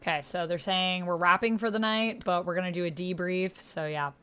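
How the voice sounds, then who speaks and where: A woman with a low-pitched voice hosts a casual livestream. She talks in an effusive, chatty way, reading and answering chat messages as she goes. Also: steady tone, fairly quick, pushed and bright, clean tone. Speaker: livestream host playing a text roleplay game.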